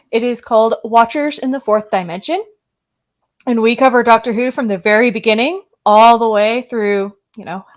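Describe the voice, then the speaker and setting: A woman guesting on a podcast, talking casually and frankly, often laughing. Her voice sits a bit high.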